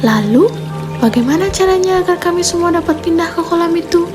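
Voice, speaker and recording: A woman's voice: very high voice, lively intonation, a little fast, over loud music. A woman voicing a trusting fish in a fable, earnest and deferential as she pleads for guidance. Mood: anxious, pleading.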